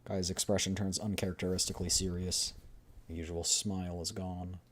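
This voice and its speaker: A woman's voice: a bass voice, level tone, fairly quick. A woman streamer reading a game story aloud as scripted narration. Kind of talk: scripted narration with voiced readthrough.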